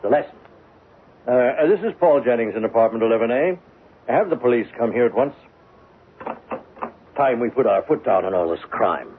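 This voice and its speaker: An actor playing a menacing, self-righteous killer with a pompous, commanding manner in a 1970s radio drama. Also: male voice, medium pitch, lively intonation, moderate pace, pauses often, a little hiss or hum, close to an everyday voice. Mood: smug, stern. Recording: muffled, phone-like 1970s radio broadcast recording.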